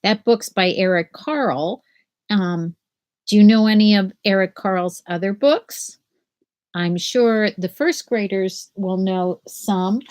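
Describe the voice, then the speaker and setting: An older woman teaching children from home over a webcam, explaining a picture book and then reading it aloud in a gentle sing-song. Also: mid-range voice, takes it slowly. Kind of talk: children's read-aloud lesson. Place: small room at home. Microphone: laptop webcam video call.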